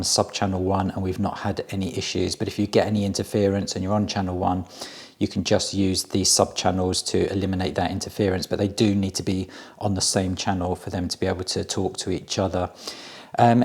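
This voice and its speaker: A man's voice: sits low, darkish tone. A man giving a casual hands-on product review, explaining each step in a plain-spoken way.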